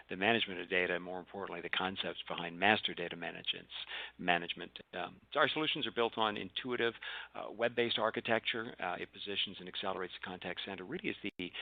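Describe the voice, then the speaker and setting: A man's voice: deep voice, creaky, no room sound, dull audio. A man giving a webinar introduction as a panelist, with many fillers and restarts. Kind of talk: webinar presentation.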